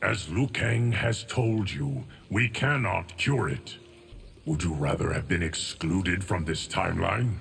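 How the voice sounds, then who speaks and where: A stoic, ageless guardian speaks low and gravelly. He is grave and matter-of-fact, in a video game style with faint ambience behind. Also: slow pace, a bit bright, slight background noise, heavily acted. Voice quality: deep, gravelly. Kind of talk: dramatic video game acting.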